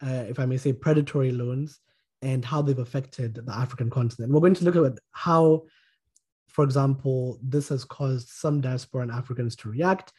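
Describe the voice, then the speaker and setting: A man hosting a podcast panel and calmly introducing his guests one by one. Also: medium pitch, husky. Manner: measured, introduces guests politely. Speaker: podcast host.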